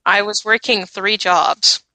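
A woman's voice: mid-range voice, steady tone, slow, pushed and bright, no echo, low-quality recording. A woman chatting casually as a podcast panelist, blunt and emphatic in giving her opinions. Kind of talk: casual, opinionated gaming chat.

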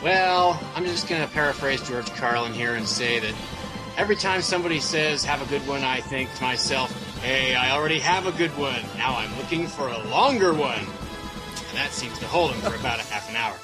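A man's voice: mid-range voice, lively intonation, normal speed, music in the background, low-quality recording. A man co-hosting a casual podcast chat with dry, wisecracking remarks. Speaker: podcast co-host.

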